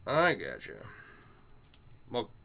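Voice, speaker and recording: Bright-voiced chatty man on a livestream. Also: medium pitch, wildly swooping pitch, takes it slowly. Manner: chatty, greets and answers viewers while working. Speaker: chainmail maker streaming live. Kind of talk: casual livestream chat.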